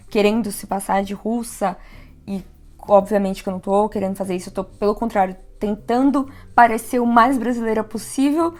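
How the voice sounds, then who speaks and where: A Brazilian woman from São Paulo with a softened São Paulo accent, giving chatty advice in a casual vlog. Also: on the high side. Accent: São Paulo Portuguese, softened. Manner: chatty, reflective, laughs easily. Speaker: vlogger living abroad.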